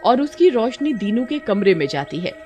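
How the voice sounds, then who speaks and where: A woman reads scripted cartoon narration with a highly animated melody. Her voice sits a little high, and music plays underneath. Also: moderate pace. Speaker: story narrator.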